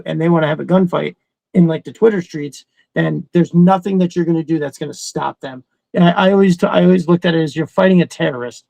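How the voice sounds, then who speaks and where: A man's voice: on the high side, gravelly, dark tone, dry recording, low-quality recording. A middle-aged man co-hosting a casual chat, blunt and conversational, with some swearing.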